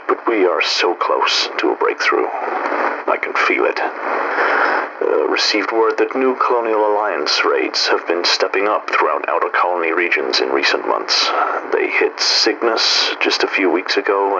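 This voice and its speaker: A man's voice: deep voice, muffled recording. A man playing a driven colonial industrialist dictating an audio drama log, musing and then resolute, on a noisy radio-style recording.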